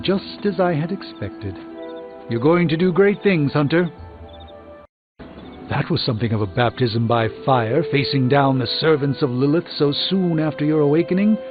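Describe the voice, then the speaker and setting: An actor playing a learned, composed sorcerer in a video game, speaking in a formal, eloquent, dryly witty way. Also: male voice, medium pitch, phone-quality sound, natural voice. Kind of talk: scripted video game dialogue.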